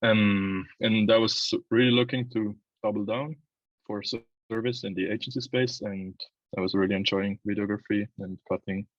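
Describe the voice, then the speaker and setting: Young swiss guy being interviewed, kinda low voice, chatting casually, close dry sound but a bit muffled. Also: fairly even intonation, moderate pace, no room sound. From Switzerland. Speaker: interview guest, videographer and agency owner. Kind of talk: casual chat, telling own story.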